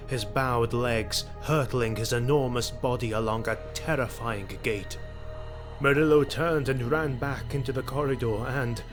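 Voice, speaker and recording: Casting: male story narrator, mid-pitched, grave and deliberate, dramatic fantasy delivery over a clear music bed.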